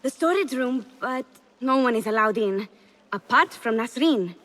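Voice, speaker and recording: A woman's voice: a little high, animated, normal speed, fairly bright tone. An actress voicing a timid, wistful palace servant woman in a video game. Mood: shy, wistful. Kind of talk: video game cutscene acting. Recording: reverberant hall.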